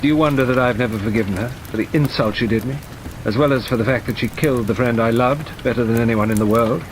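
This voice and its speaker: A man's voice: mid-range voice, fast, lightly acted. A man playing a bitter, proud middle-aged Englishman. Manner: resentful, intense confession. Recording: steady rain noise behind.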